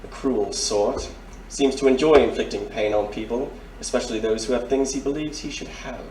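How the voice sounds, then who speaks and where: A man's voice: medium pitch, normal speed, darkish tone, natural voice. A man playing a courteous, shrewd detective major in an audio drama, speaking formally and with polite insinuation. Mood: measured, grave. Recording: roomy, distant mic, faint noise.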